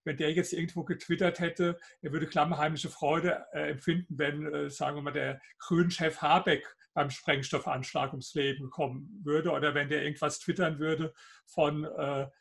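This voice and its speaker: Older Hessian man with a gravelly husky voice. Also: a little high, level tone, normal speed, a bit bright. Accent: Hessian German. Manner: hesitant, many fillers, argumentative. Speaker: guest author. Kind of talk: video call interview. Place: home office with bookshelves, indoors.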